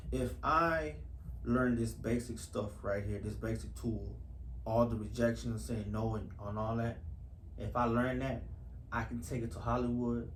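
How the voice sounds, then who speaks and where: A man speaking casually and earnestly in an interview, repeating phrases for emphasis. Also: medium pitch. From Houston, US. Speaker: actor being interviewed. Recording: echoey room with faint background noise.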